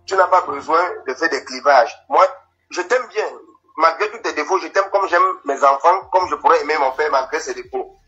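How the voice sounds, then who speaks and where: A man with a Cameroonian French accent, a caller speaking earnestly and haltingly with many fillers. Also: fairly high pitch, pushed and bright, soft background music. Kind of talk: live phone-in chat. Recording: dull lo-fi phone line.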